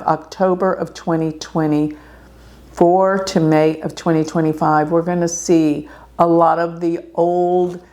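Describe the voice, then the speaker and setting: Slow, gravelly-voiced woman astrologer. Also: deep voice, warm tone. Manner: slow, earnest, warning tone with throat clearing. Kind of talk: casual talk to camera.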